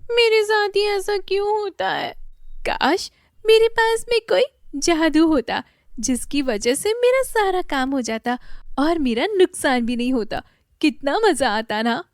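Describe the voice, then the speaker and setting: Lady doing a whiny lazy little village boy, squeaky and swoopy, dry close sound. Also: lively intonation, a little slow, dry recording. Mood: wishful. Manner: whining, high and sing-song.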